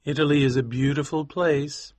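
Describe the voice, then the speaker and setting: A man voicing a polite, cheerful young American gentleman in a storybook reading. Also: a little high, steady tone, normal speed, no echo, pitched above the performer's own voice, natural voice. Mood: polite, friendly.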